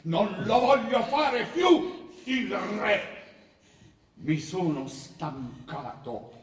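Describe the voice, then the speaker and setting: A man's voice: sits high, animated, drawn-out words, full character voice. An actor playing a weary, forgetful old king who sounds bewildered and evasive, in a theatrical style. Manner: bewildered, answering with questions. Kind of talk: theatrical stage drama acting. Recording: echoey stage room, faint background noise.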